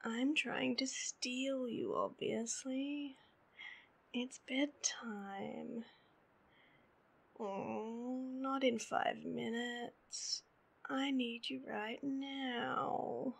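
A woman's voice: fairly high pitch, fairly even intonation, extremely slow, gravelly, slightly rough, full character voice. A woman with an Australian accent playing a sleepy, needy, playful girlfriend in an intimate roleplay audio, in a drowsy, whiny drawl.

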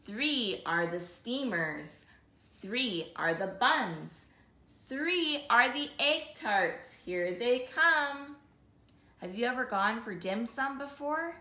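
A woman in her thirties hosting an interactive storytime, reading a picture book expressively and clearly for young children. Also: on the high side, animated, slow pace, bright, forceful tone. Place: small living room, seated on a sofa. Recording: roomy indoor sound.

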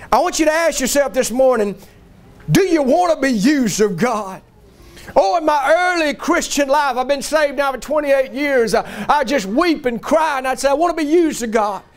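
A middle-aged man preaches in a very high, strained-up register. He delivers a fervent revivalist sermon in a folksy, exhorting way, pushing hard on key words and rising into shouts.

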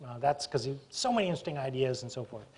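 A man's voice: mid-range voice, animated, fast talker, darkish tone, breathy edge. A middle-aged man moderating a stage conversation in a university auditorium, chatty and wry, often restarting his sentences, on a lapel mic. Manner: chatty, self-interrupting, wry. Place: university auditorium with live audience.

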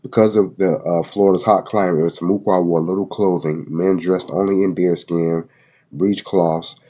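Male host, congested, husky, mellow tone; casual read-aloud with slang asides. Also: low voice, steady tone, moderate pace, lo-fi sound. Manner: loose slangy asides between read passages, many fillers. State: congested from a head cold. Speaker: video host reading a website aloud. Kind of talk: casual screen-recorded reading and commentary. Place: at home in Florida.

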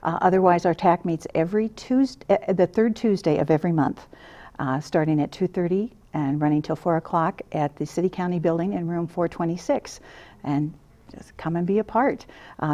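A woman with a low, mellow voice gives an earnest studio interview as a seated guest. She talks into a lapel mic and often restarts her sentences with fillers. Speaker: interview guest, council director. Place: small TV studio set, seated.

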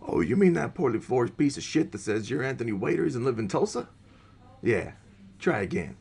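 Gravelly, menacing police captain played by a man. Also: medium pitch, very animated, darkish tone, heavily acted. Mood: sardonic. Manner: taunting, deliberate, threatening. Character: menacing, sardonic male police captain interrogator. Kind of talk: audio drama acting.

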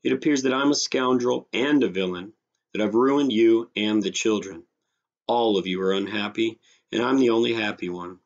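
A man's voice: lowish voice, normal speed, muffled recording, voice pushed up, close to an everyday voice. A man voicing an indignant, sarcastic grown man nursing a grievance, in a scornful, snorting storybook reading. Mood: bitterly indignant.

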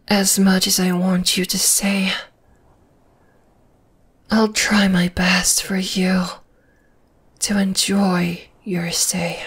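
A woman voicing a possessive, gently dominating spider monster girl in an ASMR roleplay, in a slow, deliberate, breathy whisper. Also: lowish voice, heavily acted. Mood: longing, calm. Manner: slow, deliberate, soothing yet menacing.

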